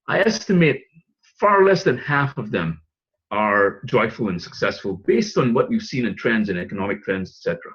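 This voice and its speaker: Husky-voiced man pitching a business. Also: fairly bright tone, lo-fi sound. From Singapore. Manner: rambling with fillers and restarts, chuckling asides. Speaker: presenter. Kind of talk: webinar pitch talk.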